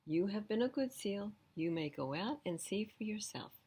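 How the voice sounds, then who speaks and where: A woman's voice: mid-range voice, lively intonation, moderate pace, mellow, slightly rough. A woman voicing a kindly, reassuring male zoo keeper in a children's storybook.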